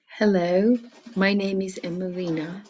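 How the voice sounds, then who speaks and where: A woman's voice: mid-range voice, steady tone, measured pace, darkish tone, muffled recording, a little hiss or hum. A woman from Costa Rica giving a friendly, plain introduction as a ceremony presenter. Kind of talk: brief self-introduction.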